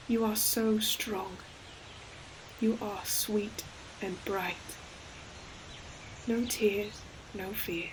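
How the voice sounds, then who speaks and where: A woman plays an ancient forest demon who speaks slowly and solemnly, in a narrow, measured range. She is a tender, sorrowful protector in an audio roleplay, choosing each poetic phrase with care. Faint ambient sound sits behind the voice. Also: medium pitch, noisy background, close to an everyday voice. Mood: tender, soothing. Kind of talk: scripted audio roleplay monologue, slow dramatic storytelling. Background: ambient environmental sound effects.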